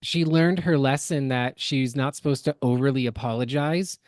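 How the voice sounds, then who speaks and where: Woman podcaster explaining and critiquing stuff, really low voice, dry close sound. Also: moderate pace, a little mellow, no echo. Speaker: podcast co-host. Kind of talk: casual reaction commentary.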